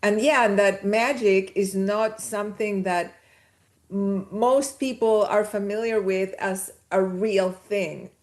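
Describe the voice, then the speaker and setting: A woman's voice: medium pitch, fairly even intonation, slow. A woman from Chile, a podcast guest, chatting casually and telling personal stories with laughs mixed in. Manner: chatty, storytelling, laughs mid-sentence. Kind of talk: casual conversation.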